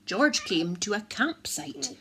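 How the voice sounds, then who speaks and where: A woman narrating a children's bedtime story, expressive and addressing small children. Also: medium pitch, slow, creaky, crowd noise.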